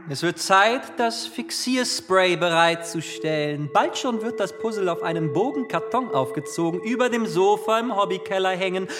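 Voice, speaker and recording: An actor playing a grandiose, declamatory hardboiled detective in a musical radio play. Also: male voice, high-pitched, natural voice. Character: melodramatic hardboiled detective avenging injustice. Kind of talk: theatrical musical radio play, half-sung and narrated. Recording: loud music under the voice.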